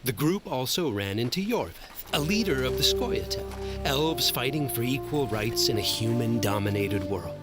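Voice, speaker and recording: An actor playing a wry, playful storyteller who narrates a fantasy game saga in scripted style, with teasing asides to the listener. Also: male voice, medium pitch. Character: wry male storyteller recounting a fantasy saga as the hero's buddy.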